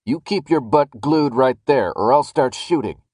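A man performs a callous masked guard in an audiobook story. He barks and gloats with a bullying sneer. The pitch moves around in an animated way, and the sound is close and dry. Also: unhurried, dry recording. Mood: threatening. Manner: barking threats and gloating. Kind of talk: audiobook character acting.

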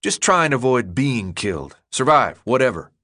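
A man voicing a gruff, impatient teenage boy leader with blunt, slangy speech in an audiobook. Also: medium pitch, big pitch swings, moderate pace, bright, forceful tone. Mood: gruff, exasperated. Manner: blunt, slangy, clipped commands. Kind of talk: audiobook character dialogue.

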